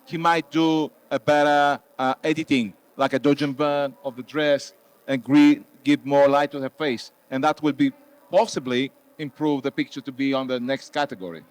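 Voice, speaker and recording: A man in his fifties with a Greek accent, giving casual critique in slightly halting non-native English. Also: mid-range voice, flat delivery, dry recording, rough recording. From Thessaloniki, Greece. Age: 50s. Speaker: wedding photographer and competition judge. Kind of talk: casual spoken photo critique. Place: booth at a trade show.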